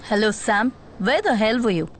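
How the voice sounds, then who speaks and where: A woman's voice: on the high side, expressive, extremely slow, slight background noise. An actress playing a stern, bossy female police investigating officer with a clipped, commanding manner that mixes in English phrases. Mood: stern, instructing. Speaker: actor in a dubbed film. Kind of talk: dramatic film dialogue. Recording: music behind the voice.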